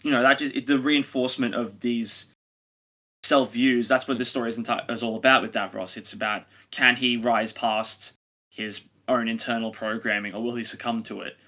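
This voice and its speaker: Male podcast co-host, bright forward voice, informal review chat, halting delivery. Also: mid-range voice, fairly even intonation.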